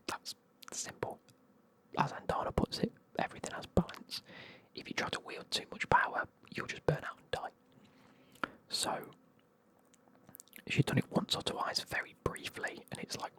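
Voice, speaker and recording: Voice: mid-range voice, normal speed. A speaker, whispering a casual, rambling recap of a fantasy novel full of fillers. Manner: soft whisper, rambling, frequent fillers and swearing. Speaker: book reviewer. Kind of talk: whispered ASMR book recap.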